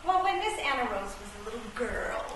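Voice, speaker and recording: A woman playing an excitable, sentimental and cheeky chess pawn in a comic radio play, with playful, theatrical exclaiming. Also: on the high side, brisk. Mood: chatty. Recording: echoey room, distant mic, noisy background.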